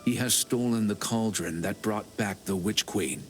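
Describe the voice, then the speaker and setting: Man playing low-voiced, knowing fantasy counsellor. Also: unhurried, close to an everyday voice. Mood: assured. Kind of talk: scripted video game dialogue. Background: music under the voice.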